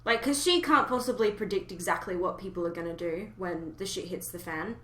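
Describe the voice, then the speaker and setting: A woman's voice: fairly low pitch, a little fast, sharp tone. A woman chatting casually about fan theories, speaking in an opinionated, emphatic way. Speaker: podcast co-host. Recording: roomy, echoey voice recording.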